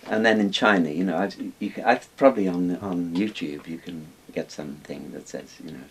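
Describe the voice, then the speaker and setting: Older male expert from Wales, low-pitched, informal explainer, hissy room recording. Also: a little fast, gravelly. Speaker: interviewed expert on Chinese dragons. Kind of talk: casual explanatory talk. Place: small book-lined room indoors. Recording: hissy background noise.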